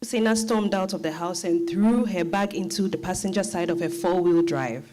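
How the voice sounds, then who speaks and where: A woman narrating a novel in a measured, literary storytelling style. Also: fairly low pitch, background noise.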